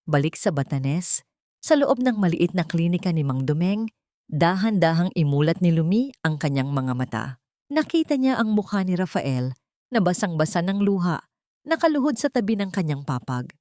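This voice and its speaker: Female narrator, deep register, dry close mic, solemn audiobook delivery. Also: big pitch swings, moderate pace, warm tone, no echo.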